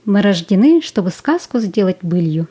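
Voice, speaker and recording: A woman's voice: mid-range voice, very animated, moderate pace, dark tone, breathy edge. A woman acting a dramatic audio-play monologue as a romantic Soviet school-leaver, nostalgic and earnest. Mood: dreamy, earnest. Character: dreamy romantic Soviet school-leaver girl recalling summer 1941.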